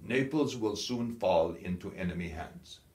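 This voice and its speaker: A man's voice: sits low, expressive, a little slow, darkish tone. A man voicing a curt, scornful German field marshal, a commanding war veteran, in an audiobook. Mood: probing, stern. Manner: curt, authoritative. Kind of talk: audiobook character dialogue. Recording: echoey, roomy sound.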